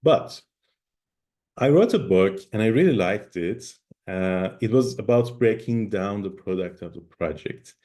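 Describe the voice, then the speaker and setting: Man, low mellow voice, lively up-and-down pitch, native Persian speaker, online conference talk, emphatic lecturing. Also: unhurried. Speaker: guest speaker.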